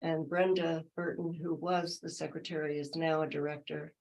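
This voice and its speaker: Low-voiced woman giving a meeting report. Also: flat delivery, normal speed, darkish tone, rough recording. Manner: hesitant, fillers and self-corrections. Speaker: library friends group treasurer.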